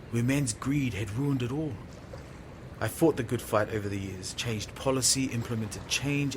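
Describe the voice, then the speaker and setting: A man's voice: medium pitch, noisy background, natural voice. A man playing a time-travelling fisherman who tells his story in the first person, theatrical and anguished, in an audio drama. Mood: subdued, resolute. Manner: theatrical, swings from breathy murmur to anguished shouting.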